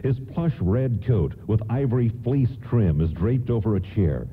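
A man's voice: lowish voice, big pitch swings, moderate pace, husky. A man playing an earnest radio narrator and announcer, reading a scripted old-time radio play. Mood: storytelling. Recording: tinny radio broadcast, faint noise.

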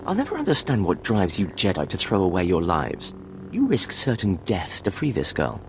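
An actor playing a pompous, sneering Sith villain, cold and theatrical, in a video game cutscene. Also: male voice, low-pitched, huge pitch swings, moderate pace, slight background noise, exaggerated voice. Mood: menacing, scornful. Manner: mocking, pompous.

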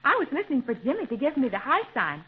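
A woman's voice: sits high, huge pitch swings, fast. A woman playing a plucky, chatty young girl adventurer in a 1930s radio serial, with an American accent. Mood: chatty, excited. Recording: tinny band-limited 1930s radio recording.

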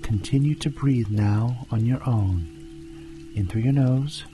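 A man leads a guided meditation with very slow, deliberate delivery. His manner is calm and hypnotic, soothing throughout. Clear music plays behind his medium-pitched voice. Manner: calm, hypnotic, deliberate, often dropping into breathy whispers.

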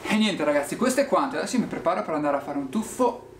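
A man's voice: a little high, lively intonation, fast talker, very noisy. Chatty young man vlogging on camera. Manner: chatty, upbeat, addresses viewers directly. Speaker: travel vlogger. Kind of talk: travel vlog, talking to camera. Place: mountain outdoors and hotel room. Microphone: handheld camera at arm's length.

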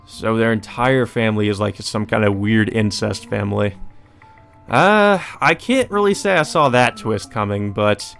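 A man's voice: lowish voice, unhurried, creaky, a bit bright. A man giving casual, blunt, profane commentary as a let's player. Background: game music.

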